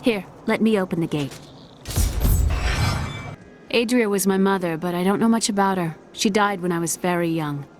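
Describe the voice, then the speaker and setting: A woman's voice: medium pitch, normal speed, long pauses, music in the background, natural voice. An actress playing an earnest young woman who survived an attack, speaking plainly and steadily in a video game. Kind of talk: video game dialogue voice acting.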